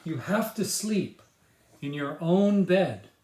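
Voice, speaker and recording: A man's voice: high-pitched, animated, takes it slowly, darkish tone, roomy sound, pitched above the performer's own voice. A man doing a stern bedtime father.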